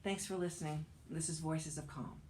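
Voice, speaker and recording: A woman reading a personal essay aloud as a narrator, with a wry, measured storytelling delivery. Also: low-pitched, fairly even intonation, mellow, slight background noise. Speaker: audiobook narrator, actor and author reading an essay.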